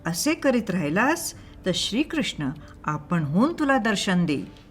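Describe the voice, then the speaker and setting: A woman voicing a calm male ascetic sage who gives measured, instructive counsel in an audio story. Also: mid-range voice. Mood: calm, advising.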